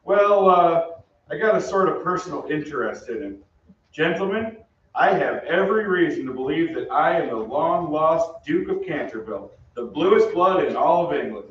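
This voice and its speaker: A man's voice: fairly high pitch, expressive, moderate pace, lightly acted. A man playing a brash young American soldier in an old-time radio play, with breezy, slangy delivery. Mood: self-important. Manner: breezy, slangy, hesitant in bursts. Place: theatre stage. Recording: very echoey stage, distant mic, muffled.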